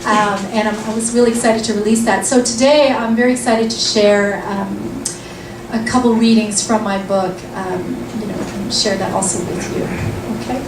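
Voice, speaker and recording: A woman's voice: mid-range voice, steady tone, moderate pace. Woman from vancouver hosting in a lounge, lots of ums, sounds far off in an echoey room. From Vancouver, Canada. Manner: chatty, lots of ums and restarts. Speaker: event host and author. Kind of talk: casual event introduction and book reading. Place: lounge event room, indoors. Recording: roomy lounge, mic far off, noisy.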